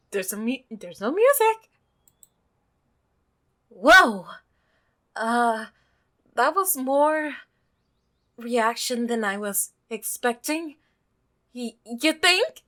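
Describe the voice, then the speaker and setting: A woman's voice: on the high side, a bit bright. A woman playing a visual novel, reading it aloud and reacting expressively, exclaiming and laughing at the story. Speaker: let's play gamer.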